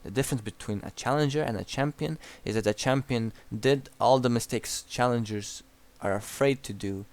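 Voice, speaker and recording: A man's voice: mid-range voice, moderate pace, hardly any pauses. A young man with a Croatian accent, bluntly coaching a player through a game replay with casual swearing. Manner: blunt and profane, frequent restarts. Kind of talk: replay analysis commentary.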